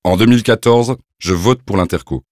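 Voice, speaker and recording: Man announcer, low voice, short punchy title lines, dry studio read. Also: moderate pace, darkish tone, no room sound.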